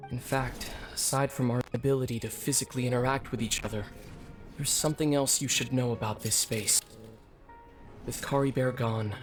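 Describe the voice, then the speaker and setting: An actor playing a solemn, weary young man, a long-lost twin brother in a game, who speaks softly and gravely in measured lines. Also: medium pitch, close to an everyday voice. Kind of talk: scripted game voice acting. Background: background music.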